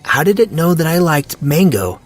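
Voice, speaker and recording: A bewildered teenage boy hero as a man acts him out, pitched up from the storyteller's voice. He questions and snaps with irritated sarcasm, in fantasy audio drama style, over faint music. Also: big pitch swings, moderate pace. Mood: puzzled. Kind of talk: audiobook character acting.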